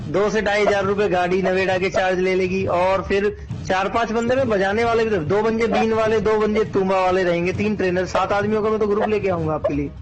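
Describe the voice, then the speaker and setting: A man's voice: sits high, level tone, fairly quick, few pauses, soft background music. A man negotiating casually on a phone call, explaining and haggling persistently. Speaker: snake charmer troupe organiser.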